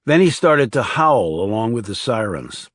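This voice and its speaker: A man's voice: fairly low pitch, big pitch swings, normal speed, breathy edge, no room sound. A man reading scripted thriller narration as an audiobook narrator.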